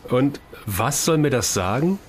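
A man's voice: mid-range voice, animated, unhurried, a little hiss or hum, natural voice. An actor playing a blunt, wary man in an amateur science fiction audio drama. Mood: wary, irritated. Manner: suspicious, blunt. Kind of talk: hobby sci-fi audio drama acting.

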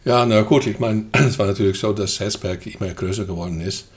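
An older man from the Netherlands with a Dutch accent, talking hesitantly with many fillers and throat clearing as an interview guest. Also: on the low side, brisk. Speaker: guest, festival co-manager. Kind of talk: casual interview chat.

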